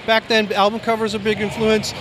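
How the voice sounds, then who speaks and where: Older american guy, 60s, soft highish voice, rambling casual interview chat, faint background noise. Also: monotone, fast talker. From Maine, United States. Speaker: comic book artist, interview guest. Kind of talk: casual, reminiscing interview talk. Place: busy convention floor. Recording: faint convention floor noise.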